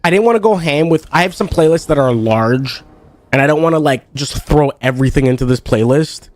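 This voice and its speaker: A man's voice: fairly high pitch, fairly quick. A young man streaming a card game, chatting casually in a rambling way full of fillers, and stuffed up with congestion. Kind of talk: casual chat while playing a card game.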